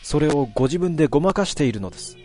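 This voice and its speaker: Figure: a man doing a formal, loyal maidservant. Pitch: high. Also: lively intonation, racing, a little mellow, soft background music, close to an everyday voice. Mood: pleading. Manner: formal, pleading. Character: loyal polite female servant, earnest.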